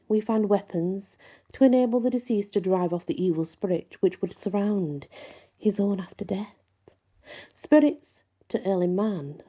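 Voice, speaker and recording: A woman host reading folklore aloud and musing on it, with deliberate, emphatic phrasing. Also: mid-range voice, dark tone. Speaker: host and reader. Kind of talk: reading a folklore book aloud with casual asides.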